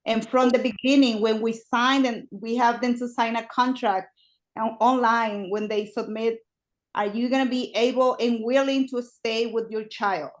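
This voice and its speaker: Mexican-accented woman, earnest and higher-pitched. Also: fairly even intonation, moderate pace, poor audio. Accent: Mexican Spanish. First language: Spanish. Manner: earnest, fillers like "um" and "like", non-native grammar slips. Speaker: parish religious education worker. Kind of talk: online meeting discussion.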